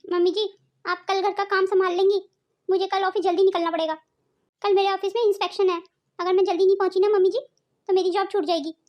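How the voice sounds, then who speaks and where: A woman's voice: very high-pitched, level tone, fast talker, dull audio. A woman voicing a dutiful, polite young daughter-in-law in a Hindi cartoon family drama. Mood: worried. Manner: polite, deferential.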